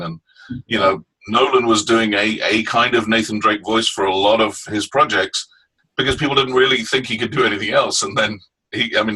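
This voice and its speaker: A man's voice: on the low side, sharp tone, breathy edge, low-quality recording. A man, a voice actor, speaking thoughtfully in a casual webinar chat. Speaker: voice actor guest.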